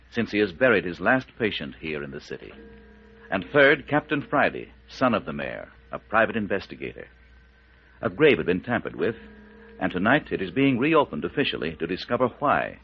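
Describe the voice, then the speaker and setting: A male announcer tells a vintage radio mystery with suspense and emphasis. The voice is fairly low, husky and widely inflected, on a muffled old recording. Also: sing-song, normal speed. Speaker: announcer and narrator. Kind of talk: dramatic scripted 1940s-style radio serial narration.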